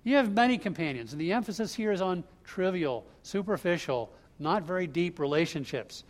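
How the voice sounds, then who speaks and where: A man's voice: sits high, expressive, brisk. A man preaching an expository sermon, explaining scripture line by line in a conversational way. He grew up in the US Northeast.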